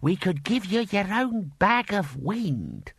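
A man's voice: high-pitched, big pitch swings, slow pace, vocal fry, a bit bright, slightly rough, voice pushed up, heavily acted. A man voicing an older male wizard who talks in an airy, offhand way.

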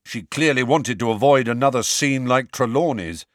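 A man's voice: mid-range voice, normal speed, no echo, studio quality, close to an everyday voice. A man voicing a pompous teenage schoolboy who talks sagely, in a storybook reading.

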